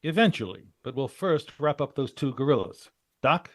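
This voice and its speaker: A man's voice: mid-range voice, lively intonation, dry recording, clean studio sound, lightly acted. A man playing a cool, commanding American troubleshooter in a hard-boiled 1940s-style radio drama. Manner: brisk, wry, hard-boiled.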